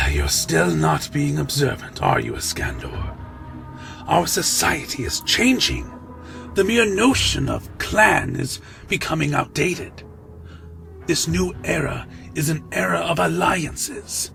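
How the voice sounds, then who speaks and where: Casting: ambitious, insinuating male vampire schemer for audio drama man acting, very creaky voice, swinging intonation. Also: on the high side, big pitch swings, slow, bright, forceful tone, faint music behind, full character voice. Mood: persuasive, condescending.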